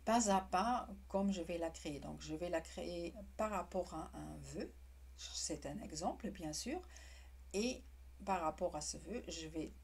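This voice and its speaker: Husky non-native woman teaching calmly. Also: lowish voice. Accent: non-native French. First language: not French. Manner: halting, restarts words often. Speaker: witchcraft teacher. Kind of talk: casual explanatory tutorial.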